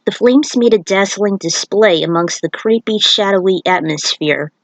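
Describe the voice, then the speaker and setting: A woman's voice: medium pitch, normal speed, dry recording, low-quality recording. A woman reading scripted action-story narration aloud, sometimes stumbling and rereading words. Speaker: narrator reading fan fiction.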